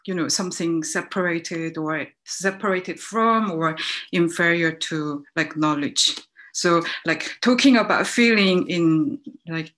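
A woman's voice: lowish voice, fairly bright tone. A woman in her thirties from South Korea, whose first language is Korean, speaking English with a Korean accent as she opens a panel, thinking aloud with many fillers over a laptop video call from a home study. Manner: thoughtful, hesitant, many fillers. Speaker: moderator. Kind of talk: opening remarks on a panel. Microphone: laptop mic on a video call.

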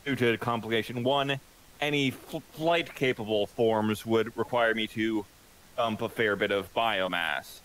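Average-pitched guy at a tabletop game, explaining his character, lots of likes and restarts. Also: a bit bright, low-quality recording. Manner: halting, many restarts and fillers. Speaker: player.

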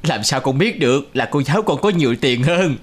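A man's voice: medium pitch, animated, brisk, natural voice. An actor playing a proud, indulgent, middle-aged wealthy father in a cartoon. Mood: proud, amused. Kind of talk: cartoon dub acting.

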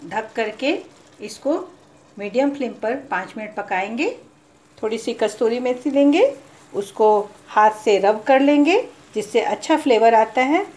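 Calm woman cooking host in roomy kitchen. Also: on the high side, unhurried. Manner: calm, instructive, mixes English cooking words into Hindi. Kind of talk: step-by-step recipe narration.

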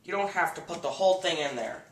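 Deadpan teen boy hosting a mock cooking show. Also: a little high, fast talker, slight background noise. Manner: earnest instructional tone with absurd steps. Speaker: host of a home cooking parody. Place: home kitchen, indoors. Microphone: camera mic across the kitchen. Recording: echoey kitchen, camera a bit far.

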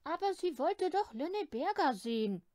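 Lady doing a stubborn cheeky wee boy from a storybook, high and childlike, kinda pleading. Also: big pitch swings, moderate pace, a little mellow, no echo, studio quality. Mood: protesting.

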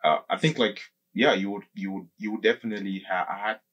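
Male guest, thirties, studio interview. Deep-set husky voice, casual and explanatory, halting with plenty of filler words. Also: highs cut off. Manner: halting, often says "like" and circles back. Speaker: podcast guest. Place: small indoor podcast studio. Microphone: desk microphone close up, with headphones.

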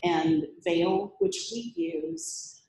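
Woman speaker, animated and gravelly, roomy live recording. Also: medium pitch, very slow. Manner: lively, chatty, self-deprecating humor. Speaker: conference speaker. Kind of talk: presentation talk with Q&A. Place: meetup room with audience. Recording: echoey room.

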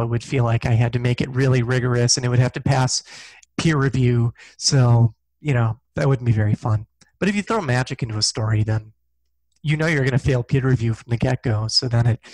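A man's voice: mid-range voice, brisk, darkish tone, no room sound, low-quality recording. A middle-aged man talking casually as an interview guest, thoughtful and self-deprecating, on a headset mic. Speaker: guest, physicist and science fiction author. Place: small indoor room, video call.

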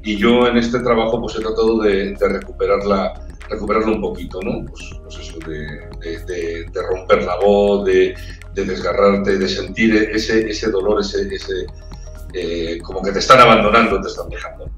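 A man's voice: on the low side, fairly even intonation, moderate pace, fairly bright tone. A man in his forties from Spain with a Castilian accent, a singer chatting as an interview guest on a video call, searching for words and repeating them as he talks. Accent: Spanish from Spain. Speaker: opera-trained ranchera singer, guest. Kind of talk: casual interview chat. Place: indoor room with brick wall. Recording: echoey room, far from the mic, faint music.

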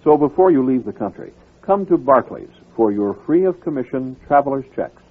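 Husky male radio announcer, phone-like sound. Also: mid-range voice, lively intonation, normal speed. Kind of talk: scripted ad and programme announcements.

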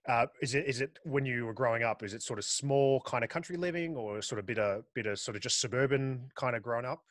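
Upbeat podcast host, dry mic. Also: male voice, mid-range voice, fast, no echo. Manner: upbeat, friendly questioning. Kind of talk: interview hosting. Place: home studio. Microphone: studio mic with pop filter. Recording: dry close studio mic.

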